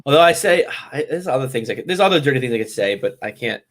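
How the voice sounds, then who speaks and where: A man's voice: medium pitch, lively intonation, quick pace. Casting: young male co-host, easygoing, jokey, rambles. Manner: joking, rambling, laughs a lot. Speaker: podcast co-host. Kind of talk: casual chat.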